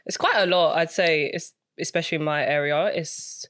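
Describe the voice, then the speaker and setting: Soft-spoken young woman telling stories. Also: low voice, normal speed, dry recording, clean studio sound. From Britain. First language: Cantonese. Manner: soft-spoken, reflective storytelling with many fillers. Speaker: guest. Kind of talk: casual podcast chat.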